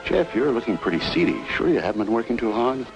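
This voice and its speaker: Lively low voiced guy, inquisitive, old radio noir with music behind. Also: fast talker, muffled recording. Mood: genial.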